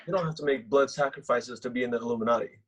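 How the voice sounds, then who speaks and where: A man playing a proud, easily nettled young actor in a comedy table read, husky and clipped. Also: mid-range voice, racing, lightly acted. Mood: annoyed. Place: at home, remote table read.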